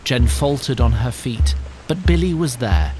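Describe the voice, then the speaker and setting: A man's voice: medium pitch, animated, measured pace, over music. A man narrating an audio drama in scripted, literary, slightly wry storybook style.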